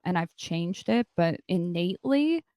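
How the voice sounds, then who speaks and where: A woman's voice: on the low side, expressive, measured pace, mellow, no echo. A woman chatting informally as she hosts a casual livestream, often jumping in with short conversational remarks. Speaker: content creator and show host.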